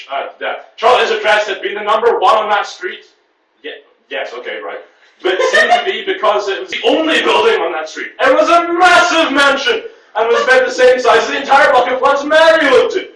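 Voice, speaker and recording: A man reading prose aloud haltingly, breaking off for exasperated, self-correcting asides. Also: extremely high pitch, sing-song, pushed and bright. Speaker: reader at a writers' group reading. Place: echoey room with a group present. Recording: echoey room, distant from the mic.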